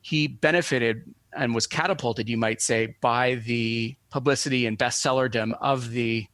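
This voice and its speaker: A man's voice: mid-range voice, steady tone, normal speed, a bit bright, dry recording. Scholarly man explaining, dry close sound. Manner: hesitant, digressive, scholarly phrasing. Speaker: professor, expert guest. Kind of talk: academic explanation in an interview.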